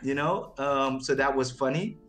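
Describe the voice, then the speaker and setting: A man speaking English as a second language, with slight Texan traces in his accent. He halts and repeats words, laughing now and then, at an average pitch. Soft music plays faintly behind him. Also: normal speed. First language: not English. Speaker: crypto project CEO, guest. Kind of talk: casual interview chat.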